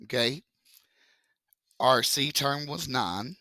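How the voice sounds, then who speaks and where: Male teacher with a froggy voice; slow, jokey, bright and forceful tone. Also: medium pitch, dry recording, studio quality. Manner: joking, chatty, slow step-by-step explaining. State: deep froggy voice from a cold. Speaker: math teacher. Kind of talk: casual classroom lesson video.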